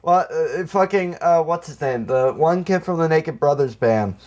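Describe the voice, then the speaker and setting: A man's voice: on the high side, expressive, noisy background. Rambling, foul-mouthed man podcasting. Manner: rambling, halting, heavy casual swearing. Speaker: podcast co-host. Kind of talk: casual comedic film chat.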